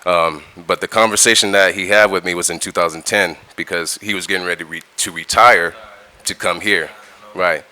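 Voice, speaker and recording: A middle-aged man speaks in a very low, resonant voice. He talks in a relaxed, slangy way, looping back over words as he goes. His tone is forceful and crisp. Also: brisk, hardly any pauses. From California, United States. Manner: laid-back and slangy, repeats words and restarts. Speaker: music producer guest. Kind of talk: casual interview talk.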